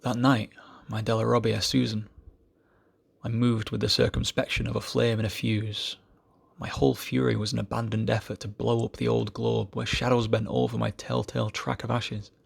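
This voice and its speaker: A man giving an amateur poetry reading in a solemn style, with measured, deliberate phrasing. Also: medium pitch, little pitch movement, creaky, husky.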